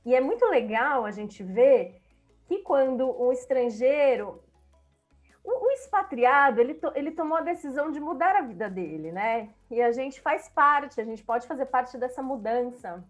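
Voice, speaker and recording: A woman with a Brazilian accent talks with eager, reflective energy on a panel. Her voice sits a little high, and faint music plays underneath. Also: lively intonation, normal speed. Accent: Brazilian Portuguese. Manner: reflective, enthusiastic, repeats herself. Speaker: language school speaker. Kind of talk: panel talk.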